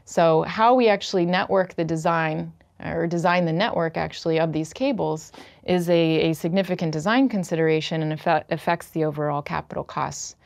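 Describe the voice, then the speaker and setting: A middle-aged woman lecturing on engineering in a measured way, with many "uh" and "um" fillers, standing at a projection screen. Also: low voice, steady tone, creaky, no room sound, clean studio sound. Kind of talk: technical lecture. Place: dark studio in front of a projection screen.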